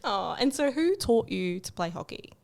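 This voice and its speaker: A woman's voice: on the high side, sing-song, moderate pace, gravelly, a little mellow. A woman hosting an interview, asking short questions and giving brief encouraging replies. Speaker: interview host. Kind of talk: casual interview chat.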